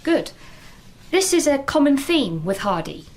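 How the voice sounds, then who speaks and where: An actress playing an earnest, worried English teacher in a naturalistic British radio drama. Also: female voice, sits high, very animated, a little slow, a little mellow. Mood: earnest, lecturing.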